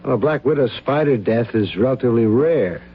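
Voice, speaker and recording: A man's voice: mid-range voice, expressive, brisk, natural voice. A man acting a businesslike, probing police lieutenant in an old-time radio drama. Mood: inquiring. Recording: dull old radio recording, faint noise.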